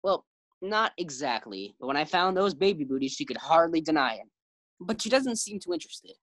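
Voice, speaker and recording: Flustered, stammering sitcom husband, played by a woman: low register, lively, anxious, vintage radio style. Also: brisk, no echo, exaggerated voice. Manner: stammering, befuddled. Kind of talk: 1940s radio sitcom acting.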